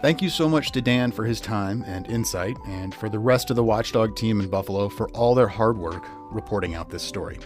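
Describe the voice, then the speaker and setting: A man's voice: on the low side, a little fast, hardly any pauses, soft background music. A man reading a scripted true-crime narration as a podcast narrator.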